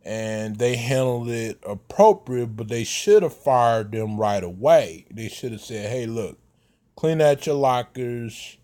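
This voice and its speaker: Slow, halting man in his thirties. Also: medium pitch, mellow. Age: 30s. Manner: slow, halting, many fillers and restarts. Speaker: vlogger giving commentary. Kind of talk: casual commentary.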